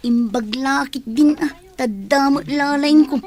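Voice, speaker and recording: A woman's voice: high-pitched, slow pace, background noise. A woman playing a carefree, work-shy student daughter in a radio comedy drama, grumbling casually.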